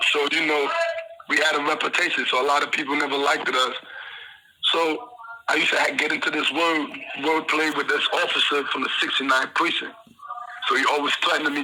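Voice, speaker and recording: Man telling his story over a noisy phone. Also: a little high. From Trinidad. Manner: rambling street-slang storytelling. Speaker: incarcerated guest on the phone. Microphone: phone call.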